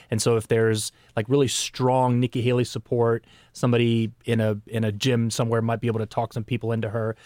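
A man's voice: medium pitch, darkish tone, no room sound, studio quality. A man from the Netherlands, a health reporter, giving conversational analysis on a panel. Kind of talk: panel discussion.

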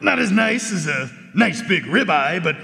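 Gravelly, high, erudite talking dog. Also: male voice, big pitch swings, measured pace, sharp tone, exaggerated voice. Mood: earnest, polite. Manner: precise, polite, formal. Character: erudite, courteous male talking springer spaniel, detective's loyal partner. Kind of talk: radio drama dialogue. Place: large performance hall stage. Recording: echoey concert hall, live stage broadcast.

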